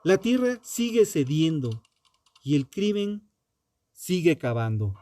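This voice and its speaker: Male true-crime narrator, solemn and dramatic, slightly high-set but mellow tone, unhurried documentary read. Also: big pitch swings. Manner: solemn, dramatic, short measured phrases with pauses.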